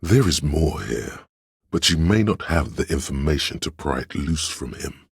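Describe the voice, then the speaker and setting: A very deep, gravelly theatrical courtier. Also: male voice, lively intonation, moderate pace, no echo, clean studio sound, full character voice. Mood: conspiratorial. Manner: theatrical, courtly, archly dramatic. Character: flamboyant, sly male inner voice of drama, servile courtier. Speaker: game voice actor. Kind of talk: theatrical video game character lines.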